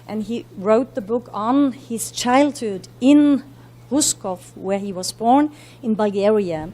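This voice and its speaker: Fast-talking woman lecturing with many fillers. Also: fairly high pitch, dark tone. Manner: talks quickly with many fillers and self-corrections. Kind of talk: academic lecture. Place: university lecture room.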